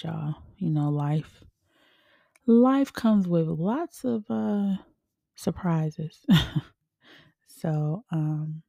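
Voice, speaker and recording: A woman's voice: low voice, gravelly, dark tone, dry recording. A woman hosting her podcast in a casual, chatty way, a bit congested from a sinus infection and laughing often. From Chicago, USA. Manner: chatty, laughs often, calls listeners honey and y'all. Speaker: podcast host. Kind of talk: casual chat.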